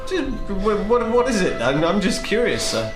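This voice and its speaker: Male role-play gamer, very expressive casual storyteller, roomy recording with clear music underneath. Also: high-pitched, moderate pace. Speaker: player in a tabletop role-playing game. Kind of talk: animated casual in-game narration. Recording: roomy sound with music behind.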